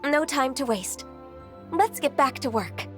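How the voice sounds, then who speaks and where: A woman voicing a gentle, dutiful, ageless half-immortal secretary in a video game, speaking in an earnest, formal, soft-spoken way. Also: sits high, expressive, a little slow. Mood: resolute. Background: background music.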